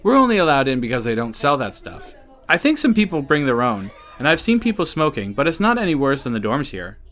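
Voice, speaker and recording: A man playing a friendly, upbeat, chatty high school boy in an audiobook drama. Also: fairly quick. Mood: friendly, explaining. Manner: upbeat, expressive. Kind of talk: audiobook character acting.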